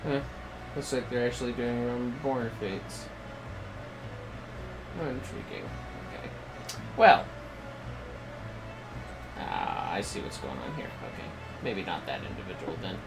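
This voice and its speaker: Halting male livestreamer over music. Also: medium pitch, very animated, vocal fry, rough recording. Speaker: game livestreamer, host. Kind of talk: casual livestream chat.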